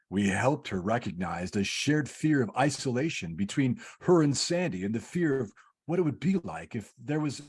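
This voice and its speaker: A calm senior angel in a radio play , acted by a man, with a husky, medium-pitched voice. He explains patiently and instructively, like an overseer briefing his junior. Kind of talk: old-time radio play parody, read from script.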